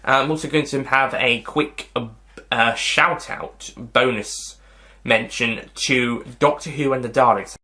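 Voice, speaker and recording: A man with a bright, forward voice presents a casual review to his viewers. He rambles a little, hesitating and circling back to reword his points as he goes. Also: mid-range voice, measured pace. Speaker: host of a video review channel.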